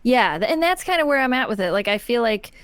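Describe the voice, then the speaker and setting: An American woman co-hosting a podcast in casual, rambling conversation, telling stories in an animated way. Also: a little high, quick pace, clean tone, no room sound. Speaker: podcast co-host. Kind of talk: casual chat, storytelling.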